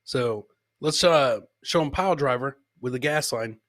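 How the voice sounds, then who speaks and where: A man's voice: mid-range voice, measured pace, fairly bright tone, no room sound. Man, mid-range voice, comedy podcast host, casual live reaction chat, informal, repeats himself, dry close sound. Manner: informal, repeats his own lines.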